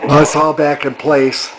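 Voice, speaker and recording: A man with a head cold chats casually as he demonstrates a hobby repair project, now and then clearing his throat. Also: a little high, level tone, slow pace, fairly bright tone. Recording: very noisy, radio static in room.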